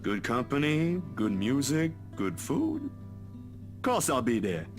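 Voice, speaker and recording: An actor playing an awkward, deferential young photographer in a visual novel, stammering and apologetic. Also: male voice, mid-range voice, wildly swooping pitch, slow, soft background music. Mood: agreeable.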